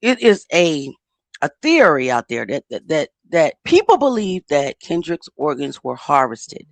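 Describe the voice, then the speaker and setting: A woman's voice: deep voice, sing-song, a little slow, dry recording. A woman host with a speech impediment giving casual commentary, stuttering and repeating words in a heated, insistent way. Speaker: channel host.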